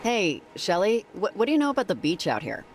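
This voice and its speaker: Actress as a dry, level-headed field researcher. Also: female voice, medium pitch, very animated, fairly quick, slight background noise, lightly acted. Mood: dryly curious. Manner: dry, understated, plainspoken. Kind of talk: naturalistic video game acting.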